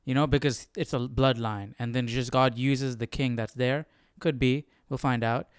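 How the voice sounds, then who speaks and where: A man's voice: medium pitch, fairly even intonation, moderate pace, smooth, no echo, clean studio sound. A man hosting a livestreamed study session, giving casual, reflective commentary and questioning things as he thinks aloud. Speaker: Bible study livestream host.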